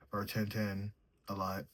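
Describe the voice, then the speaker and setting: A young man reads tarot in a mellow, sleepy manner, musing out loud over each card. His voice sits low and has a husky, breathy edge. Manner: sleepy, mellow, thinks aloud while drawing cards. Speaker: tarot reader. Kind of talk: solo tarot reading, casual chat.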